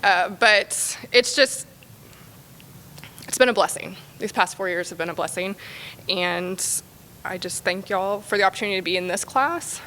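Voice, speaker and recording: A woman's voice: normal speed, pushed and bright. A woman giving an informal, unscripted self-introduction at a training class, rambling a little and correcting herself as she goes. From grew up in the Middle East, Australia and Houston. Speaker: realtor at a speaker training class. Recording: echoey hall, rumbling background noise.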